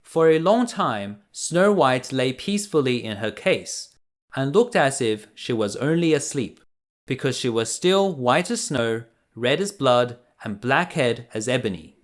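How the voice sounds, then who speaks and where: An unhurried man narrates a children's bedtime fairy tale. His delivery is deliberate and expressive, with lively intonation and a mid-range pitch. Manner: deliberate, expressive read-aloud. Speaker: storyteller reading to children.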